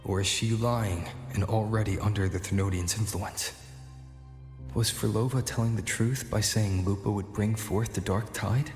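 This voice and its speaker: A man voicing a thoughtful, composed young wanderer in a video game, reasoning in a measured, serious inner monologue. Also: lowish voice, fairly even intonation, natural voice. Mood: questioning. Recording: echoey reverb effect, music behind.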